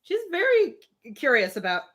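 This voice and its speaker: Female live reader, higher register, very animated delivery, informal and expressive. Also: moderate pace. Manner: expressive reading, breaks off for informal amused commentary. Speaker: host reading a novel aloud on a livestream. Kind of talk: live audiobook-style reading with casual asides.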